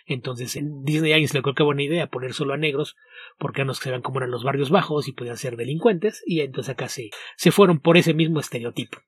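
A man, co-hosting a podcast and explaining his translation work in a steady way, restarting his words often. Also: medium pitch, fast, slightly rough, no room sound, clean studio sound. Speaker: podcast co-host, comic translator. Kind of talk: casual podcast chat.

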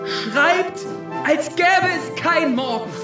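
An actor playing a solemn, overeager congress assistant, declaiming ceremoniously in a radio play. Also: male voice, very high-pitched, expressive, slow, heavily acted. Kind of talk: theatrical radio drama oratory. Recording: roomy, distant, music underneath.

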